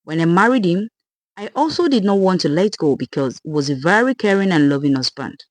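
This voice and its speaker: A storyteller voicing an older village woman, a serene and chilling cult mother who explains herself softly and patiently. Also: medium pitch, animated, brisk, natural voice. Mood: patient, unrepentant. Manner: soft, patient, unfazed explaining.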